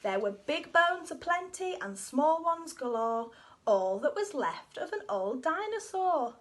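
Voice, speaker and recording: Woman doing kids story time, high voice, really animated sing-song rhymes. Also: measured pace. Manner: expressive, sing-song verse delivery. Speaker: children's storyteller. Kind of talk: animated read-aloud of a rhyming children's story.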